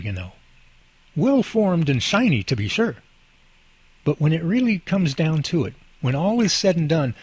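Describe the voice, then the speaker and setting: A man acting out a goofy young husband in a radio drama. His voice is lightly raised and a bit rough, swinging widely in pitch. He teases and explains with jokey, earnest exaggeration, very dryly recorded. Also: darkish tone, no echo, full character voice, poor audio.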